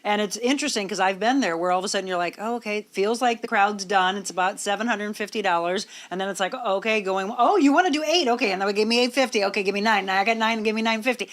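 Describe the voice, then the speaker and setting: A woman's voice: medium pitch, quick pace, few pauses. A woman hosting a conversational interview show, talking at length in an effusive, affirming way. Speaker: talk show host.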